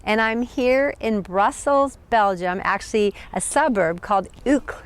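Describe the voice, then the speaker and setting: An American woman teaching about chakras, talking casually to camera outdoors in a park, gentle and upbeat. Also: fairly high pitch, a little slow, clean tone. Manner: gentle, upbeat, laughs often. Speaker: chakra teacher, channel host.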